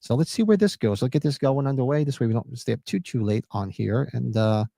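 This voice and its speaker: A man co-hosting a live podcast, chatting loosely, joking and reading chat comments, a little hoarse. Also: medium pitch, brisk, warm tone, dry recording. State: losing his voice. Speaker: podcast co-host. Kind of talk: casual live-stream chat.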